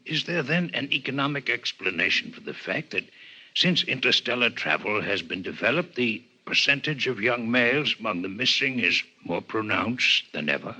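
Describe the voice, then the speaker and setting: An actor playing a courteous alien doctor in a 1950s radio drama, with formal, stiff and precise diction. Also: male voice, mid-range voice, animated, normal speed, pushed and bright, husky, exaggerated voice. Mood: formal, inquisitive. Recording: muffled, dull 1950s radio recording.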